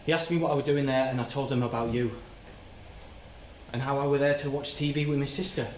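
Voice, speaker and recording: An actor playing an anguished, guilt-ridden working-class man in a halting, plain-spoken theatrical monologue. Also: mid-range voice, steady tone, close to an everyday voice. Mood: uneasy recollection. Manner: halting, plain-spoken, repeats and stumbles over words. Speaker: stage actor. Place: indoor soundstage. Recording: roomy, noisy soundstage livestream.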